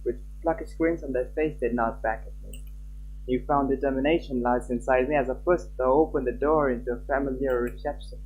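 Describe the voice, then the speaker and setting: A man live-streaming a game, reading aloud and chatting, halting at times and stumbling over his words. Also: mid-range voice, fairly even intonation, darkish tone, husky, poor audio. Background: game music.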